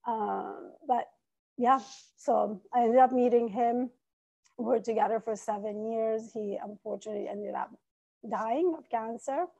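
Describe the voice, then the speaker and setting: Woman guest raised in Iran and Italy, gravelly mellow voice, earnest and reflective storyteller. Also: medium pitch, highs cut off. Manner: earnest, reflective, soft-spoken. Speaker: coach, podcast guest. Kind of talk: personal storytelling in interview.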